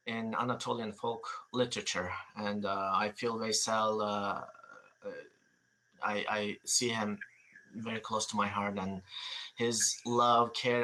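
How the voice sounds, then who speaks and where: A man in his forties from Turkey with a Turkish accent, giving an online talk with many pauses and fillers. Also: on the low side, sharp tone, a little hiss or hum, poor audio. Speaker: guest speaker, seed saver. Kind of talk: online presentation.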